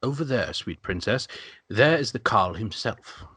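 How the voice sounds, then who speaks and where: A man playing a smooth-tongued, obsequious magister and host in an amateur script table read, speaking with unctuous deference. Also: on the low side, expressive, unhurried, pushed and bright, no room sound, exaggerated voice. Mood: ingratiating.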